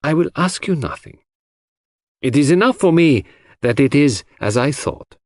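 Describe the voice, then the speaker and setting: A man voicing a shrewd, solemn foreign detective in an audiobook, speaking in a measured, emphatic and enigmatic manner. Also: fairly high pitch, animated, darkish tone, no room sound, studio quality, voice pushed up, lightly acted. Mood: quietly insistent.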